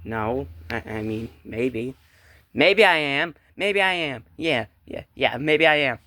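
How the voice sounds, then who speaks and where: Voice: medium pitch, expressive, takes it slowly, bright, forceful tone, no echo. A performer voicing a meek but stubborn male reindeer in an improvised comedic skit, stammering and sullen. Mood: defensive.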